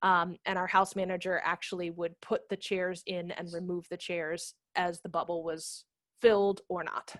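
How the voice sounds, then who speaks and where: A woman's voice: on the low side, little pitch movement, normal speed, no echo. A woman in her thirties explaining informally as a guest speaker on a video call, through a headset microphone. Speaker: guest speaker, theatre managing director. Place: room at home.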